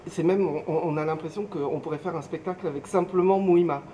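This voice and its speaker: A woman's voice: fairly low pitch, brisk, warm tone, highs cut off, slight background noise. A woman interviewer asking questions casually and hesitantly, building each one up gradually.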